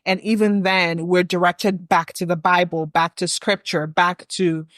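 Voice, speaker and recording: A woman's voice: fairly low pitch, flat delivery, a little slow, dry recording. A young adult woman from West Africa, co-hosting a reflective podcast conversation and building her points in long, earnest runs. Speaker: podcast co-host.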